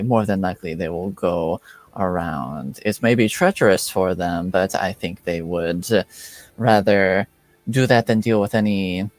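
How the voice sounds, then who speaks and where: A man's voice: low voice, no room sound. A man voicing a reserved, formal cloaked female informant in a tabletop game, speaking stiffly without contractions. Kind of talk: in-character roleplay.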